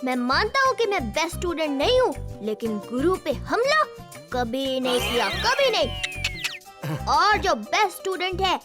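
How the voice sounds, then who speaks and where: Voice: extremely high pitch, sing-song, measured pace, loud music behind the voice, exaggerated voice. A voice actor playing a cheeky, scheming schoolboy in a Hindi children's cartoon, theatrical and full of mock innocence. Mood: mock indignant. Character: cheeky scheming schoolboy, smooth-talking prankster.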